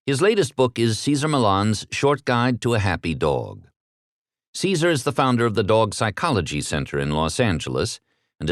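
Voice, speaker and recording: A man's voice: low voice, no echo, studio quality. A man with an American accent delivering scripted introductions and interview questions in a polished, formal announcer manner. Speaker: interviewer, audiobook narrator.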